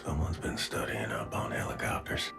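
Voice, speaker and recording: Very deep gravelly soldier. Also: male voice, normal speed, in a whisper, over music, heavily acted. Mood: dry, terse. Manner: terse. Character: gruff, brawny, laconic soldier hero. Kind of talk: video game cutscene acting.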